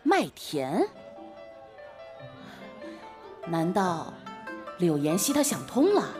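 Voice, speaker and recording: Woman as young male official, jealous husband, sarcastic, pointed, animated melody, costume audio drama, faint music. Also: lowish voice, slow, heavily acted. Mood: brisk, curious. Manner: sardonic, snorting, pointed delivery.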